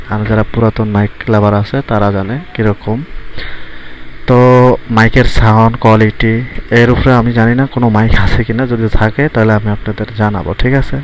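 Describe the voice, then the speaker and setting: A man casually showing off microphones for sale, chatty and repetitive, often saying hello and okay. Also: on the low side. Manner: chatty, repeats hello and okay as he tests mics. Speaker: seller demonstrating microphones. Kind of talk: casual product demo vlog. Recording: echoey room with loud noise.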